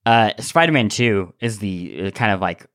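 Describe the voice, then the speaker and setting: A man co-hosting a podcast in casual discussion, thinking aloud with animated enthusiasm. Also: fairly low pitch, moderate pace, clear, resonant tone. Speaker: podcast co-host.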